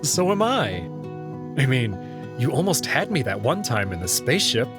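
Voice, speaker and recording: Man playing an expressive, wry young human, music behind. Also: mid-range voice, normal speed, lightly acted. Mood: teasing. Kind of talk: visual novel narration and character acting.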